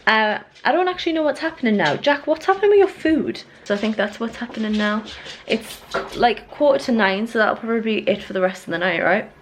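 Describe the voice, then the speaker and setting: A woman's voice: on the high side, animated, a little fast, slight background noise. Chatty young British woman vlogging. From United Kingdom. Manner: informal, chatty, rambling. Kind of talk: casual vlog chat.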